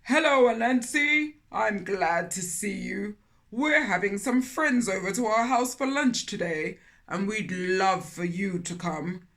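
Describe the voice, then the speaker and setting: Lady doing an eager friendly elephant from a kids book, loud bright n super welcoming. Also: medium pitch.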